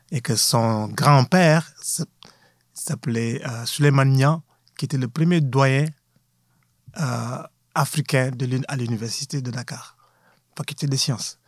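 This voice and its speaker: A man, a professor speaking in a measured, formal way in an interview. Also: medium pitch, husky, no room sound, clean studio sound. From Senegal. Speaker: guest, university professor. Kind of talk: conversational interview.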